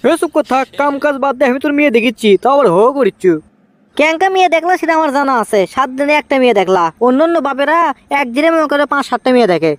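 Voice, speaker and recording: A man's voice: extremely high pitch, lively intonation, rapid-fire, no echo. A man voicing a village father who scolds and coaxes his grown son, in comic cartoon dialogue with a Bogura accent from northern Bangladesh. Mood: scolding. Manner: bossy, scolding, then coaxing.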